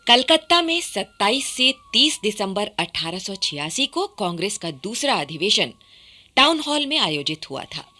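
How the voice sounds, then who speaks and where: A woman reading scripted radio documentary narration with formal, expressive enunciation. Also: medium pitch, moderate pace.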